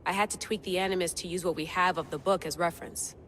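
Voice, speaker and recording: An actress playing a young modern agent in a video game, casual and teasing in manner. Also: female voice, fairly low pitch, fairly even intonation, fast, a bit bright, a little hiss or hum, natural voice. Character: young female modern agent, curious and restless. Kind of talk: video game cutscene acting.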